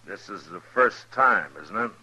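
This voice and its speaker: An actor playing a stern, middle-aged American frontier marshal in an old radio western, speaking with deliberate, terse authority on a hissy recording. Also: male voice, on the low side, animated, bright, forceful tone, breathy edge, lo-fi sound, full character voice. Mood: cold, taunting. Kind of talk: 1950s radio western acting and first-person narration.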